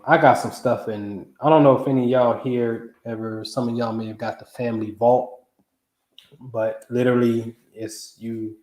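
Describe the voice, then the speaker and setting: A man in his forties talks loosely and animatedly to a live audience, full of slang and asides. His voice sits at a middle pitch. The room around him sounds echoey. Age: 40s. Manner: loose, slangy and animated, with frequent "you know what I'm saying". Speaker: astrology livestream host. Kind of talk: casual live talk.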